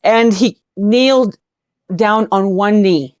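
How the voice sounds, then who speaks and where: A woman's voice: mid-range voice, slow, a little mellow. A woman guest telling casual anecdotes in an effusive, emphatic way, repeating herself for stress. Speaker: guest, rock singer. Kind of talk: casual anecdote storytelling in an interview. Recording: dull lo-fi audio, roomy echo.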